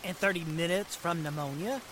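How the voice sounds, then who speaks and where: A man's voice: fairly high pitch, moderate pace, natural voice. A man playing a brash, teasing teenage boyfriend with cocky, slangy speech, in the style of 1940s radio drama. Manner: slangy, cocky, casual grammar. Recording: noisy recording with rain.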